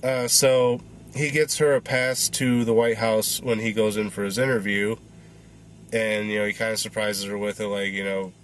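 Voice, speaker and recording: Casual male reviewer in his thirties, stuffy and congested, low voice, loose and rambling. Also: little pitch movement, moderate pace, a bit bright, a little hiss or hum. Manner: rambling, filler-heavy. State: congested, recovering voice. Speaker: movie reviewer.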